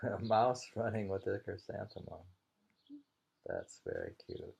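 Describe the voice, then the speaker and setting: A man with a gravelly, somewhat husky voice reads haiku and adds casual, musing remarks. He takes his time, often repeating a line. The recording is a little dull. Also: low voice, wildly swooping pitch, measured pace, a little mellow. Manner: musing, repeats each poem. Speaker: reader and host of a poetry reading. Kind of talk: reading haiku aloud with casual commentary.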